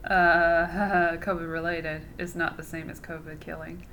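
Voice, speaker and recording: A woman's voice: lowish voice, level tone, unhurried, fairly bright tone, slight background noise. A woman hosting her own podcast in casual chat, sarcastic and mocking, swearing freely as she talks. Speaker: podcast host.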